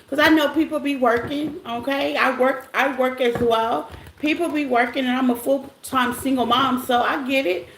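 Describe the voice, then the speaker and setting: A woman with a scratchy, rough voice hosts a casual tarot livestream, greeting viewers by name in a loose, chatty way. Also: sits high, a bit bright. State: voice rough after a silent morning. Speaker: tarot reader hosting a live stream. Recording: echoey room with faint noise.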